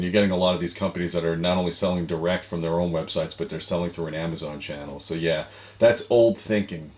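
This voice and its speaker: A man's voice: low-pitched, a little mellow. An American man in his forties co-hosting a tech show in casual chat over a video call. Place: small home office, indoors. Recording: roomy, echoey home-office sound.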